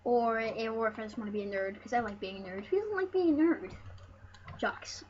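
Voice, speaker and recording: Female let's play host, higher register, rambling and jokey, sweary at times, on a dull, slightly noisy mic. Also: animated, moderate pace, darkish tone. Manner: joking, rambling, casually sweary. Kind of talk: casual gameplay commentary, reading game dialogue aloud. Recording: muffled lo-fi mic with background noise.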